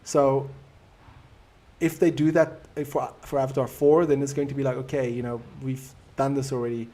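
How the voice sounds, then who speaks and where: A man's voice: mid-range voice, little pitch movement, normal speed, dark tone. South African man hosting a live chat. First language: Afrikaans. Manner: reflective, easygoing and conversational. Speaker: live stream host. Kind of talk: casual live stream chat reading viewer comments.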